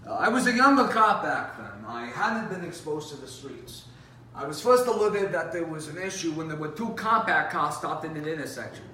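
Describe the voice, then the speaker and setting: A man playing a world-weary, animated police officer telling stories from the beat in a theatrical, conversational way with dramatic pauses. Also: on the high side. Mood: sombre, reflective. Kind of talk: competitive dramatic monologue performance. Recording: roomy, distant mic with faint noise.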